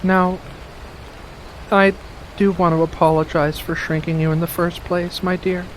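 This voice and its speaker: An ASMR performer voicing a doting, playful, sweetly possessive witch in a soft-spoken roleplay, cooing and coaxing with hums and pet names, over a noisy background. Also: mid-range voice, little pitch movement, unhurried, lightly acted. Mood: gentle, coaxing.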